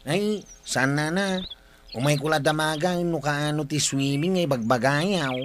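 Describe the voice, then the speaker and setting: A man's voice: fairly high pitch, a little slow, a bit bright, slight background noise. A man playing a village son talking to his mother in a comedy drama, with an Ilocano accent. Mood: casual, mild. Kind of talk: comedic barangay radio drama acting.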